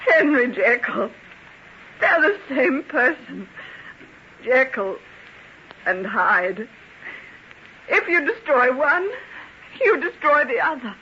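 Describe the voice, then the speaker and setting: An actress playing a distraught, pleading gentlewoman in an old-time radio melodrama, her voice tearful and breaking. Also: high-pitched, sing-song, exaggerated voice. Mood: desperate, tearful. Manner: slow, fervent, melodramatic pleading. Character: distraught, pleading gentlewoman in love with a doomed man. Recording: dull, hissy old radio recording.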